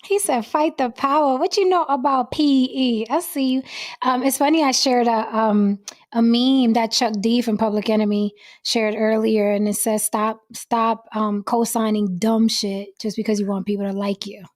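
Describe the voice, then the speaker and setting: A New woman runs a casual live-stream chat show, her voice worn slightly hoarse. She sits a little higher in pitch than most women and talks in a blunt, outspoken way. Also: fairly even intonation, normal speed, a little mellow. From New York. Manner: blunt, outspoken, swears freely. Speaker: host. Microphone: desk mic on a live stream.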